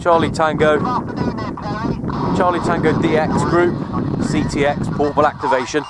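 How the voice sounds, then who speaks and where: Higher-voiced man chatting outdoors. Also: fairly even intonation, normal speed, heavy background noise. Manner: informal, chatty, matey. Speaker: CB radio operator and vlogger. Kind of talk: casual outdoor vlog and radio chat. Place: outdoors on an open hill.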